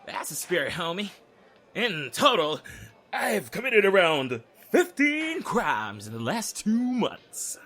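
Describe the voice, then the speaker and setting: Man acting a cocky, high-voiced young male prisoner. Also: very animated, takes it slowly, fairly bright tone, heavily acted. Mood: teasing. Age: young adult. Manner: smug, theatrical, sarcastic, taunting.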